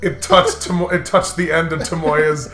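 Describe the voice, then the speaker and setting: A man's voice: a little high, fairly even intonation, moderate pace, fairly bright tone. A man giving casual, crude and giggly joking commentary on a game, sometimes slipping into mock-dramatic narration. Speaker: let's play host. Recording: roomy echo, music underneath.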